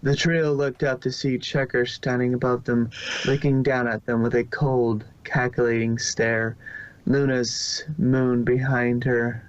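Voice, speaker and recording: A man's voice: mid-range voice, little pitch movement, normal speed, hardly any pauses, clear, resonant tone. A man narrating a story from a script, now and then stumbling over a word and repeating it. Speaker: fan fiction reader and narrator. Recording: dull lo-fi home recording.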